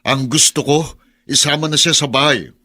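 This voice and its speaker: A domineering creditor in a Filipino radio drama. His voice is bright and forceful, insistent and menacing, with a slippery false sweetness. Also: fairly high pitch, fairly even intonation, moderate pace, husky. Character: pushy, menacing creditor man claiming a young woman.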